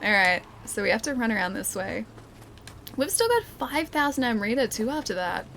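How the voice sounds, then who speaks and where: A woman's voice: a little high, lively intonation, moderate pace, creaky, a bit bright, a little hiss or hum. Chatty, giggly young woman streamer. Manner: chatty, laughs often, restarts sentences. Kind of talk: casual gameplay commentary, chatting with live chat.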